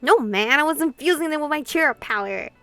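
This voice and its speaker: A woman reading visual novel dialogue and narration aloud in a casual, expressive way. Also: high voice, brisk, soft background music. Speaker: playthrough host reading translated dialogue.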